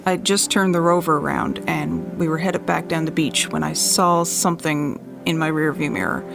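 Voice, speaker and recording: A woman playing a shaken eyewitness in an audio drama, speaking haltingly and repeating words as she recalls events. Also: sits low, creaky, over music. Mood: haunted, hesitant. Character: shaken female eyewitness recalling a night drive. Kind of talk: audio drama testimony monologue.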